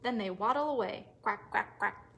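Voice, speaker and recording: A woman leading a children's story time, reading aloud in an animated, sing-song way and putting questions to young listeners. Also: mid-range voice, normal speed, creaky, a little hiss or hum. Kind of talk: animated read-aloud for toddlers.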